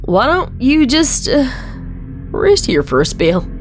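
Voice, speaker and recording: Woman as a slow-talking pony mare. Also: high voice, wildly swooping pitch, faint music behind, full character voice. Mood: strained politeness. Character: brave young farm pony mare, frightened but resolute, grieving. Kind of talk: dramatic fan fiction reading.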